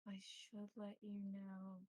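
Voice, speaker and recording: A woman playing a measured, cautious elder vampire mentor who instructs in formal, deliberate phrasing. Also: mid-range voice, monotone, darkish tone, dry recording, natural voice. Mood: cautioning.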